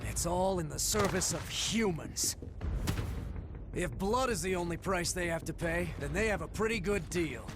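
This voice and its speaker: An actor playing a belligerent, bitter young thug in a dramatic video game cutscene, sneering and confrontational. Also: male voice, high-pitched, lively intonation, moderate pace, over music. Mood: bitter indignant.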